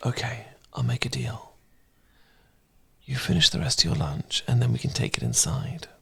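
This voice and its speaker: A man playing a smitten, bashful housemate in an intimate romance audio roleplay, speaking gently and sometimes haltingly. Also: sits low, big pitch swings, creaky, husky, whispered, heavily acted. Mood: soft, affectionate. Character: smitten, bashful male housemate, tender poetry reader.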